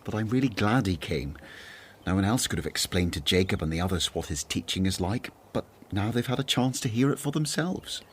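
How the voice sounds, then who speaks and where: A man plays a thoughtful, earnest disciple in a radio play, with a Northern Irish accent. His voice is low and expressive, and faint noise sits behind it. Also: fairly quick, lightly acted. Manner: earnest, gentle, hesitant. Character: Matthew, earnest reformed tax-collector disciple, thoughtful. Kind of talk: radio drama acting.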